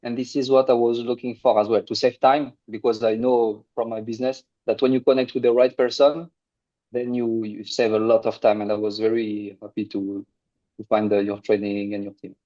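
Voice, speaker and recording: French-accented man, mellow and earnest. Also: fairly low pitch, little pitch movement, highs cut off. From France. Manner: earnest, halting with restarts. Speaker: guest, agency owner. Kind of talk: casual interview.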